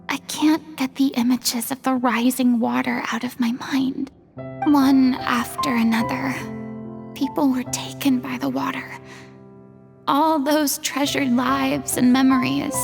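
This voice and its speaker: A woman voicing a melancholy, theatrical young former goddess in a video game, speaking in a wistful, measured way. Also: sits high, creaky. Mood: haunted, regretful. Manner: wistful, measured, dramatic delivery. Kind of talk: dramatic video game voice acting. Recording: background music behind the voice.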